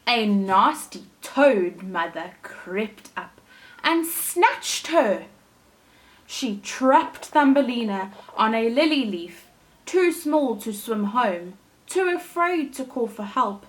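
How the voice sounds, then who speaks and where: A woman teacher reading a storybook aloud to young children, in a deliberate, expressive way with dramatic emphasis. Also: a little high, low-quality recording. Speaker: primary school teacher reading to her class.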